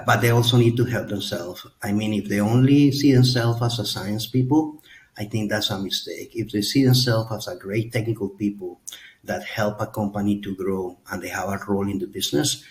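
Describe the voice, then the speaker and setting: A middle-aged man answering as a panelist on a webinar, measured and thoughtful in his phrasing. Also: lowish voice, rough recording.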